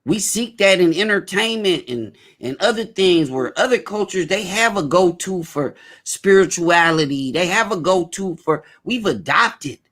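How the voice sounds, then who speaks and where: A man's voice: high voice, lively intonation, measured pace, hardly any pauses, a bit bright. A man hosting a casual talk show, speaking emphatically and often repeating his points. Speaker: host.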